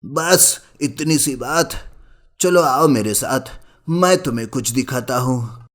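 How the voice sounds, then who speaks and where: A man's voice: mid-range voice, slow pace, fairly bright tone. Man voicing a helpful old village uncle. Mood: eager, reassuring. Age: older.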